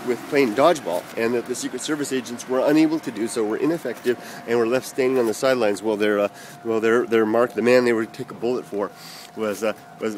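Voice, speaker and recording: A man from the United States rambling through viewer comments in a casual vlog, halting with many fillers and restarts. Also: medium pitch, a little mellow, slight background noise. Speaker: vlogger answering viewer comments.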